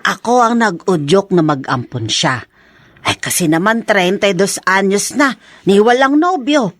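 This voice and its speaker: A woman's voice: medium pitch, lively intonation, a little slow, dry recording, clean studio sound. A woman acting a kind-hearted, coaxing older mother in a Filipino radio drama, with a Filipino accent. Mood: chatty, reassuring.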